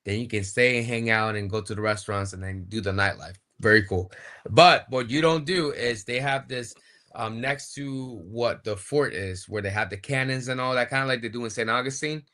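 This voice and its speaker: A man's voice: medium pitch, moderate pace, pushed and bright. A man, a wrestler, chatting as an interview guest and explaining things in a plain-spoken, casual way.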